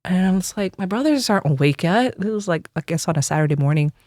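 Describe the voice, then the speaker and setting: A woman's voice: fairly low pitch, expressive, fast talker, darkish tone, no echo, clean studio sound. A woman in her forties from the United States, a religious sister telling her personal story in an interview, earnest and reflective.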